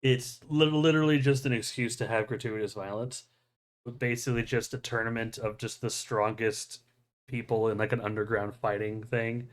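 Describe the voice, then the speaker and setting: A man's voice: medium pitch, steady tone, normal speed. A man hosting a podcast, chatting casually and enthusiastically in a rambling way with frequent chuckles. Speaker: podcast host. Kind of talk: casual chat about anime.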